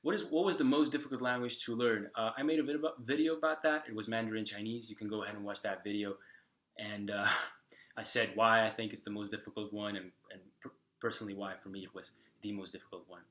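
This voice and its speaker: A man in his twenties, a native Slovak speaker, answering viewer questions to camera in a halting way, with many fillers and restarts. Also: lowish voice, fairly even intonation, fairly bright tone. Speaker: vlogger. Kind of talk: casual talk to camera.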